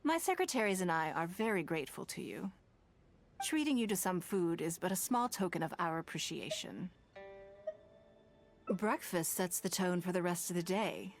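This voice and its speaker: An actress playing a poised, courteous woman leader in a video game, speaking formally with measured, eloquent phrasing and dry wit. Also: on the low side, expressive. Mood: gracious, relaxed. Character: poised, commanding, courteous wealthy woman leader, dryly teasing. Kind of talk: scripted video game dialogue. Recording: music under the voice.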